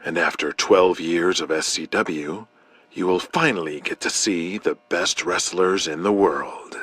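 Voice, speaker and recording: A man playing a grandiose, self-important wrestling promoter, husky and boastful, giving a 1980s television pitch. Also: a little slow, a bit bright, full character voice. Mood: boastful, proud.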